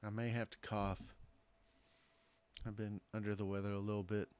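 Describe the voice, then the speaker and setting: A man with a hoarse voice, casually commenting on a strategy game he is playing and thinking aloud in a hesitant way. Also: lowish voice, flat delivery, moderate pace, darkish tone. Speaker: gameplay commentator. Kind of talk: casual let's-play commentary.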